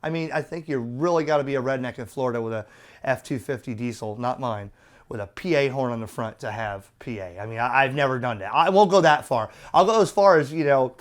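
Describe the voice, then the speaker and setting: A man's voice: mid-range voice, fairly quick. A middle-aged man giving a casual product review in a chatty, opinionated, colloquial way. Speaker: radio reviewer.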